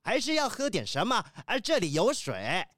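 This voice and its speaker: Animated, kindly older kiwi bird professor, acted out. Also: medium pitch, normal speed, no room sound, clean studio sound. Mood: hospitable. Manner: hesitant interjections, gently scolding. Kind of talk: children's audio drama acting.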